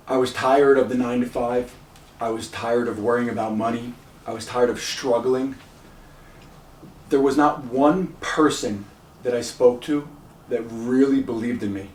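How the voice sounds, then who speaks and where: A man hosting the show, speaking earnestly and deliberately to a contestant. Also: medium pitch, a little mellow, slight background noise. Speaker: show host. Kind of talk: earnest personal speech. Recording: roomy sound from a distance.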